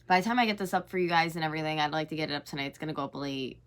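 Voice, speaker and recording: A young woman in her twenties talks through a reaction video in a loose, gossipy way. Her voice sits on the lower side for a woman, and she leans on "like" between thoughts. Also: racing, a bit bright. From North America. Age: 20s. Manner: chatty and gossipy, heavy use of "like". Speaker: YouTube host reacting to clips. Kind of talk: casual reaction commentary.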